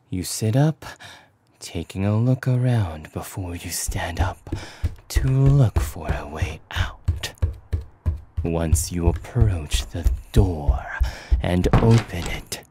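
A man narrating a scripted ASMR story in a hushed, breathy whisper with long pauses. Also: low-pitched, no room sound. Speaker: voice actor narrating an audio roleplay.

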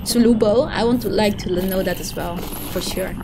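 Young dutch woman streaming vr, chatty, reads chat out, some steady noise behind. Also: mid-range voice, normal speed. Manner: chatty, reads chat aloud and teases her partner. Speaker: streamer and co-host. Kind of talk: casual live-stream commentary. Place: indoor room at a desk.

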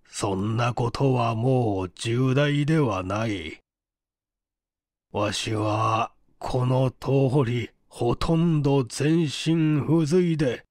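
A man voicing a frail, stubborn, imperious old invalid in an audiobook, speaking slowly and haltingly with a flat, toneless delivery. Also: medium pitch, no room sound, studio quality. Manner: slow, halting, weighty speech. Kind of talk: dramatic audiobook character reading.

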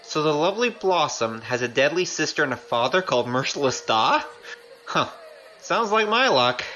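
An actor playing a cheeky, roguish young gambler with a wry manner, in an audio drama. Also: male voice, a little high, normal speed, a little hiss or hum. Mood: wary, alarmed. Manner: animated, wry.

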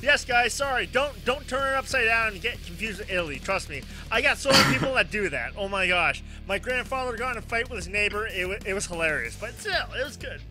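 Male podcast host, high voice, very animated, rambling and repetitive casual chat over music. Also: fast, hardly any pauses. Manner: rambling, exclamatory, repeats phrases. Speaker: podcast talk show host. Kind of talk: casual excitable interview chat.

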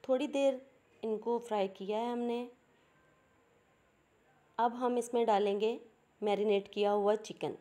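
Cooking host, woman, Pakistani Urdu accent, patient and plain, warm tone. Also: on the high side, fairly even intonation, measured pace, long pauses. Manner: patient, explains each step plainly. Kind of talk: step-by-step cooking instructions.

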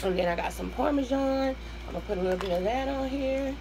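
A hoarse woman with a Southern US accent talks casually to her viewers between mouthfuls, calling them y'all and humming over her food. She sits at a table in a small room at home, close to the camera, with a faint hum of traffic outside. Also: high voice, fast. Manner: chatty, addresses viewers as y'all, hums while eating. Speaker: mukbang host. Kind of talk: casual eating-show chat.

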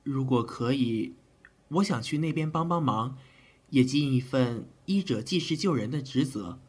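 A man's voice: mid-range voice, expressive, measured pace, a little mellow, slightly rough. A man playing an earnest, polite army physician in an ancient Chinese audio drama, with a hesitant, courteous way of talking.

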